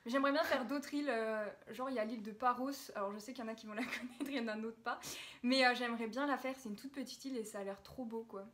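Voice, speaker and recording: A woman's voice: on the high side, fast talker, fairly bright tone. A young woman co-hosting a casual question-and-answer chat, laughing often between her phrases. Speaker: co-host of a lifestyle blog channel.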